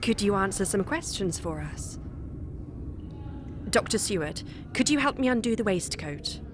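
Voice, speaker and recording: A woman's voice: medium pitch, sing-song, unhurried. Woman playing composed young wife, noisy background. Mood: calm coaxing. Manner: polite, coaxing, formal. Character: brave, composed young wife, gentle but defiant. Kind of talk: theatrical audio drama acting. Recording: clear background noise.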